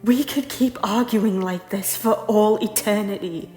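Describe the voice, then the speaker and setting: A woman's voice: on the low side, normal speed, darkish tone, close to an everyday voice. A woman playing a repentant former temptress, an earnest and defiant noble lady speaking formally in a theatrical audio drama. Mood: impassioned, frustrated. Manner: formal, archaic, impassioned speech. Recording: roomy echo, music underneath. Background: music.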